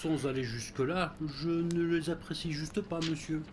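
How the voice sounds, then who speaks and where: Man acting a prim, higher-voiced fussy butler. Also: fairly even intonation, moderate pace, background noise, lightly acted. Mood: uneasy, indignant. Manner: formal, wordy, deferential, prim. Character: fussy, formal, devoted male butler, anxious and prim. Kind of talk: audio drama acting.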